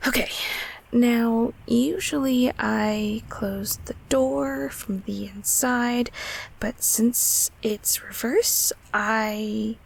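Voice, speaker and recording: A woman playing a gentle, affectionate closet monster in an intimate comfort roleplay, speaking softly and hesitantly in a whispery, reassuring way. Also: a little high, steady tone, a little hiss or hum, heavily acted, poor audio. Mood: warm, reassuring. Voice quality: soft, breathy, whispery. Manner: slow, hesitant, tenderly reassuring.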